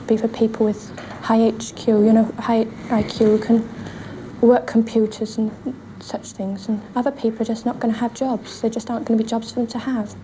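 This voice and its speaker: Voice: medium pitch, warm tone. A young girl giving earnest answers in an interview. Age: child or teenager. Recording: faintly noisy 1960s archival interview.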